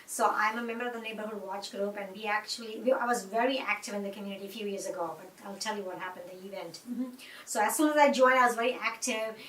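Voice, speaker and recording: A woman's voice: medium pitch, quick pace, a bit bright. A middle-aged woman from India with an Indian accent, speaking in an interview in a reflective, earnest way, with many fillers and restarts. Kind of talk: conversational interview. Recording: echoey room, mic at a distance.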